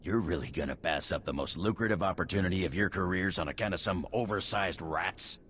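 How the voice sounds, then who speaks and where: Deep male voice, gruff mercenary boss, sneering, blunt, video game, faint background noise. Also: fairly quick, a bit bright. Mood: impatient, goading. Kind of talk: video game character acting.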